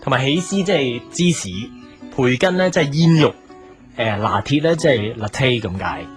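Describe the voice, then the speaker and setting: A man's voice: mid-range voice, animated, normal speed, lightly acted. A man playing an easygoing, polite young cafe owner with a Hong Kong Cantonese accent in an audio drama, with music behind him. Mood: patient, explaining.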